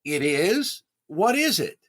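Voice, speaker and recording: An actor playing a vain, hapless comedian in 1930s-style radio comedy, with a deliberate, indignant delivery and dramatic pauses. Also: male voice, on the high side, sing-song, a bit bright, heavily acted. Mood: wounded disbelief. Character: vain, hapless comedian desperate to prove his sex appeal.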